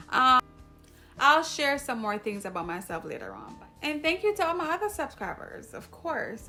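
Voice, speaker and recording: Jamaican lady vlogging, kinda high voice, chatty and rambly, cuts herself off a lot. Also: creaky, bright, forceful tone. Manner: chatty, rambling, self-interrupting. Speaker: YouTube host. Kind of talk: casual talk to camera.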